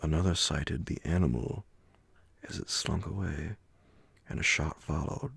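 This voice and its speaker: A man reading a horror bedtime story in a slow ASMR audiobook style, with a gravelly, breathy voice that often sinks toward a whisper and dramatic, drawn-out phrasing. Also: extremely low pitch, fairly bright tone, no room sound. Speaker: narrator reading a classic horror story aloud.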